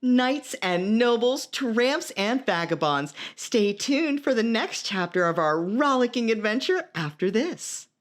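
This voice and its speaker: A woman's voice: a little high, sing-song, unhurried, hardly any pauses. A woman narrating a scripted fantasy radio play with a dramatic storytelling manner.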